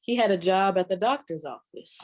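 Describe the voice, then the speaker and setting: A woman playing a sassy old comedienne griping about her ancient husband, in the deadpan, drawn-out style of a 1940s radio stand-up monologue. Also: mid-range voice, lightly acted. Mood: mock exasperation. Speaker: comedienne performer.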